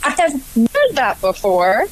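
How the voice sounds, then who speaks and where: A woman's voice: fairly high pitch, unhurried, rough recording. Chatty woman podcast host. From Connecticut, US. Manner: chatty, lively storytelling, laughs easily. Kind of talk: casual podcast chat.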